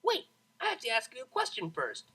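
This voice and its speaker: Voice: mid-range voice, huge pitch swings, a little fast, vocal fry, sharp tone, breathy edge, pitched above the performer's own voice, full character voice. A performer voicing a cheerful, relentlessly pestering young female pegasus pony in an audiobook. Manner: chirpy, innocently stalling questioner. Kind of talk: audiobook character voice.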